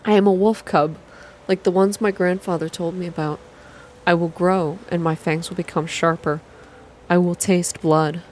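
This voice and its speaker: A woman reading a story aloud in a calm, slow, hushed ASMR style. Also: lowish voice, a little hiss or hum, low-quality recording. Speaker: reader of a visual novel for an online channel.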